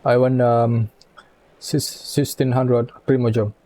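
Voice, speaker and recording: A man giving casual, halting playthrough commentary as a game streamer, with joking asides. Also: mid-range voice, flat delivery, warm tone, slight background noise. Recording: music behind.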